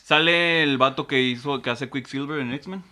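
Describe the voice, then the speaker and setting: A Mexican man co-hosting a casual podcast, talking about series he watches in relaxed, slangy speech. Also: mid-range voice, moderate pace, slight background noise. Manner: relaxed slangy storytelling with fillers. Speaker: podcast co-host. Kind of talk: casual chat, recommending series.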